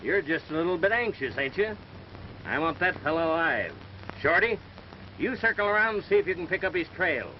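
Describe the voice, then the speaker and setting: An American actor playing a stern, folksy, skeptical sheriff in a 1930s western film. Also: male voice, a little high, sing-song, brisk. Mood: stern, steady. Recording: dull, hissy 1930s film soundtrack.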